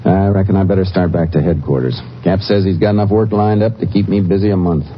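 An actor playing a calm, determined Texas Ranger in a 1950s western radio drama, with an American accent and a clipped, matter-of-fact way of talking. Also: male voice, deep voice, fast talker, slight background noise, close to an everyday voice. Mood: puzzled, resigned. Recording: muffled old 1950s radio recording.

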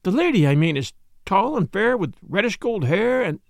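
A man playing an earnest, easily flustered gentleman sidekick in an audiobook story, hesitant and a little stiff. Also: high voice, big pitch swings, moderate pace, dry recording, clean studio sound, voice pushed up. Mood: amazed.

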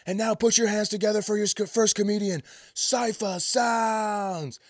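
A man voicing a hyped-up DJ announcing acts to an arena crowd, with loud, drawn-out calls in a storytelling bit. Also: sits high, fairly even intonation, no echo, clean studio sound, pitched above the performer's own voice. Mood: hyped, excited.

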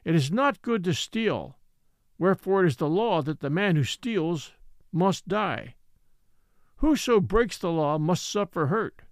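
Guy doing an old native storyteller, resigned and scornful, broken english, pretty high voice with lots of swing. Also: lively intonation, no room sound, studio quality. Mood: solemn. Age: older. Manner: simple broken English, slow rhetorical questions.

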